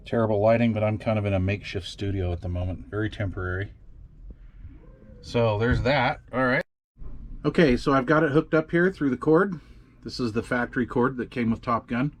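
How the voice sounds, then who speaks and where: A man casually walking through radio software in a makeshift home studio, often trailing off and muttering to himself as he tinkers. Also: mid-range voice, brisk. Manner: muttering to himself while fiddling with gear, many fillers. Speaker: radio hobby video host. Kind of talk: casual hands-on demonstration.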